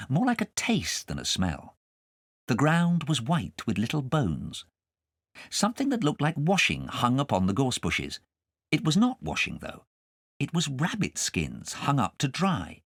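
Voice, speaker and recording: A man narrating a storybook as a scripted audiobook, with dramatic, expressive storytelling. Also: medium pitch, no echo, clean studio sound.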